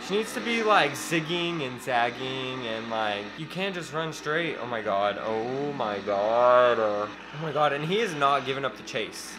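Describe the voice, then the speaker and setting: A man's voice: medium pitch, expressive, measured pace, hardly any pauses, music in the background, poor audio. A young man, co-hosting a film reaction in a casual, campy and sassy way, swearing and exclaiming. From Texas. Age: young adult. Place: home room with bookshelves, indoors.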